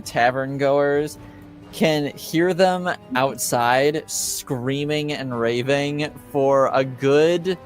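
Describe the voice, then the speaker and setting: A man narrates a tabletop game as game master, improvising as he goes. He speaks slowly and haltingly, over quiet background music. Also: mid-range voice. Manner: hesitant, halting delivery.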